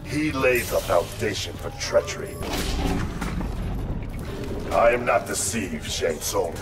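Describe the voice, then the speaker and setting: A man's voice: deep voice, expressive, slow. A man playing a stern, honor-bound ninja warrior in a video game, speaking in grave, terse, formal phrases. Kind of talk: video game character acting. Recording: loud music behind, distant sound.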